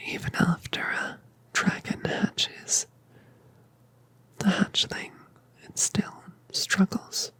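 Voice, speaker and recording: A woman whispering as a gentle mother dragon. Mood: soothing. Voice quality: breathy whisper. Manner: soft, slow, soothing. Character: colossal gentle mother dragoness, ancient and tender. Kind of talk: whispered ASMR roleplay.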